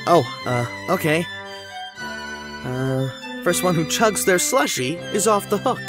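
A woman playing an anxious, flippant teenage schoolboy in an audio drama, stammering and excitable. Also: fairly low pitch, takes it slowly, music in the background. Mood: flustered, casual. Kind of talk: scripted audio drama acting.

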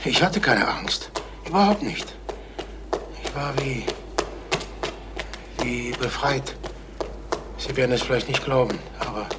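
Desperate, halting older salesman. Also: mid-range voice, noisy background. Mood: agitated confession. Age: late 50s. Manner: halting, repeating himself, brooding. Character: distraught, desperate travelling salesman near sixty. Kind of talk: German crime radio drama acting.

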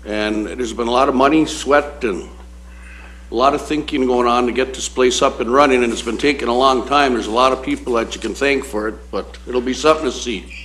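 A council member speaks plainly and rambles, filling his talk with "you know." He has a middle-pitched voice. A faint background hum sits under him. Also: brisk, fairly bright tone, low-quality recording. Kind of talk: casual meeting discussion. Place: council chamber.

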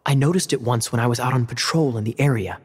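A man's voice: medium pitch, fast, a little mellow, breathy edge, close to an everyday voice. A man voicing a sly, charming young knight captain in a game, wry and teasing as he works through a riddle. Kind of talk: game dialogue.

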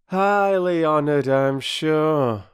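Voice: mid-range voice, extremely slow, smooth, voice pushed up. A narrator voicing an indignant working-class railway porter from a children's storybook, lofty and aggrieved, with ungrammatical speech. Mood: huffy, lofty. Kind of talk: children's storybook character reading.

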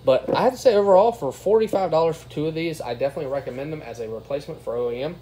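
A man's voice: fairly high pitch, very fast, mellow. Plain-spoken man, noisy room recording. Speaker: product reviewer. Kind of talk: casual hands-on review commentary. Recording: clear background noise, room ambience.

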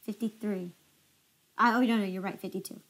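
A woman's voice: mid-range voice, steady tone, brisk. A woman working through a bookkeeping exercise with classmates, checking figures aloud and explaining each step. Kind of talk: casual study session.

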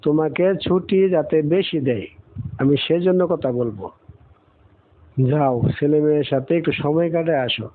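A man's voice: fairly high pitch, normal speed, a little hiss or hum, natural voice. A man playing a kindly, long-serving servant in a cartoon, speaking in a soothing and supportive way. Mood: reassuring. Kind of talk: cartoon dialogue. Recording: thin phone-like audio.

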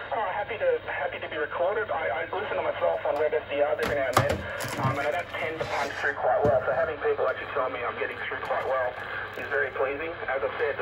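An Australian man, friendly and modest, chatting casually as a ham operator, heard through a shortwave radio receiver with compressed audio. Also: fairly high pitch. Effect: shortwave single-sideband radio. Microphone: compressed radio mic heard through receiver speaker. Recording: noisy, muffled shortwave radio reception. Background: loud radio static.

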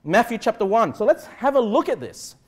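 A middle-aged man with an Australian accent delivers a sermon in a high-pitched voice. He speaks as a pastor, emphatic and conversational, frequently tagging his points to check listeners are following. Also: big pitch swings, fairly quick. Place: indoor church pulpit in a small room. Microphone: clip-on lapel mic.

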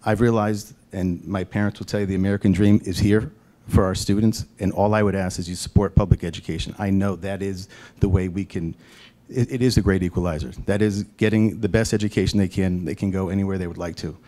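A man's voice: low-pitched, steady tone, brisk, warm tone. A man speaking as a panelist at a community event, with wry, self-deprecating humor. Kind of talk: panel discussion answer. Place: auditorium stage panel.

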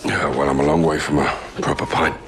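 Deep, gruff British captain. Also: male voice, fairly even intonation, fairly quick, heavy background noise. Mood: dry, guarded. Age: middle-aged. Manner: terse, dry, understated. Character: grizzled, dry, resolute male special forces captain. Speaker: actor in a military game cutscene. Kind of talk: cinematic dramatic game dialogue.

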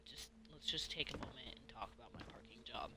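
A woman's voice: extremely low pitch, fairly quick, noisy background. A woman streaming a game and chatting casually, hesitant and rambling, with free swearing. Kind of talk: casual livestream chat while playing.

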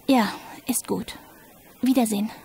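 A woman's voice: on the high side, fairly even intonation, measured pace, gravelly, mellow, a little hiss or hum. A woman playing an uneasy, defensive carer in a crime audio drama, speaking earnestly. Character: uneasy, defensive female carer of an old man.

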